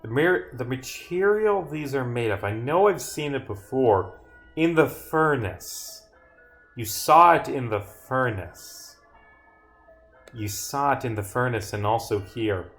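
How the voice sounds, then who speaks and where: A man's voice: mid-range voice, animated, slow, fairly bright tone, soft background music. A man chatting casually on a livestream as a game developer, thinking aloud off the cuff as he works. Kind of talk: casual livestream chat while working.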